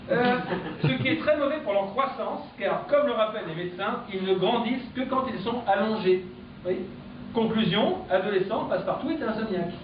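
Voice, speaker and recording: High-voiced comic in an echoey club. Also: male voice. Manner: punchy deadpan joke delivery. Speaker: comedian. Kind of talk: stand-up comic press review. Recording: echoey, distant, dull live-club recording with noise.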